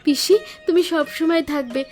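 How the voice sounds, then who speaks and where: A woman's voice: extremely high pitch, normal speed, a little mellow. A woman playing an affectionate, eager young niece in a cartoon story, speaking in an earnest, sentimental way. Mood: devoted. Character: affectionate, eager young niece visiting her village aunt. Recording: music under the voice.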